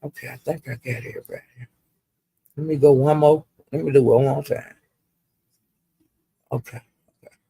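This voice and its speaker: A woman's voice: a bass voice, steady tone, normal speed, gravelly, long pauses, mellow, slightly rough, no room sound. An older woman, chatting casually to live viewers as a livestream host in a folksy, rambling, salty-tongued way.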